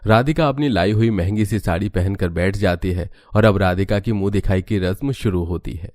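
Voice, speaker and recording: A man's voice: low voice, normal speed, darkish tone. A man narrating a cartoon family story in a scripted storyteller style.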